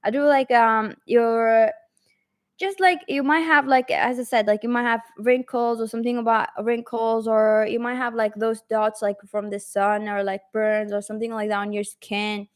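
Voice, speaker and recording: A woman with a fairly high voice reads tarot cards for an unseen viewer. She talks off the cuff and circles around her points, with many fillers and restarts. Her tone stays reassuring and direct. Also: fairly even intonation, moderate pace. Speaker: tarot reader. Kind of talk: pick-a-card tarot reading to the viewer.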